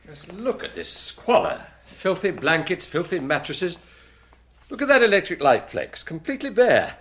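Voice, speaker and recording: An actor with a British accent playing a brisk, dry, world-weary chief inspector who questions with authority in a radio drama. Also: male voice, high-pitched, sing-song, a bit bright, breathy edge, exaggerated voice. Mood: disgusted, weary.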